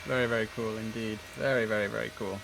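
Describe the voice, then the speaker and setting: A man's voice: fairly low pitch, fairly even intonation, quick pace, gravelly, background noise. A man commentating casually on a simulator game, chatting and musing to himself, with clipped radio calls. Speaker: streamer playing an air traffic control simulator.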